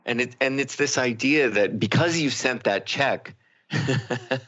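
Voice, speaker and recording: A man co-hosting a radio discussion in a conversational, reflective way, feeling out his thoughts haltingly. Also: mid-range voice, a bit bright. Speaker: radio show co-host. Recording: slightly dull lo-fi radio audio.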